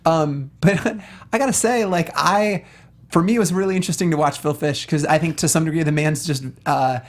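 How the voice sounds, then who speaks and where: A man's voice: a little high, fairly quick. A man co-hosting a casual film discussion show, speaking with emphatic, earnest enthusiasm. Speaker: talk show co-host.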